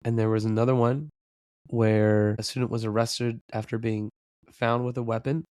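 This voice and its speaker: A man's voice: mid-range voice, normal speed, no room sound, clean studio sound. A man hosting a podcast in a casual chat, his voice worn from losing it for a week. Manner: relaxed, chatty, laughs easily. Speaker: podcast host.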